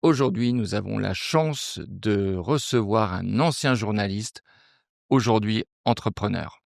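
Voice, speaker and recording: A man's voice: lowish voice, unhurried, gravelly, no room sound, clean studio sound. A man hosting a podcast interview in a conversational style, putting questions and short prompts to a guest.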